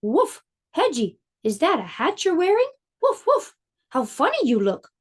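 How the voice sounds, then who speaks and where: Amused farm dog mum, storybook, high and jumpy; woman reader. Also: huge pitch swings, a little slow, heavily acted.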